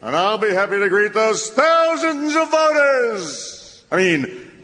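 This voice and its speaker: An actor playing an eager, pompous, vote-hungry city mayor in a live radio comedy. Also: male voice, high voice, very animated, slow pace, pushed and bright, exaggerated voice. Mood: effusive. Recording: echoey live stage hall.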